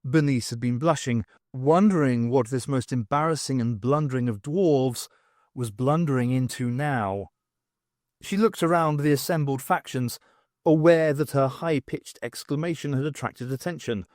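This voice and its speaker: A man's voice: medium pitch, moderate pace, darkish tone, dry recording, studio quality. A man reading scripted dramatic narration for an audiobook.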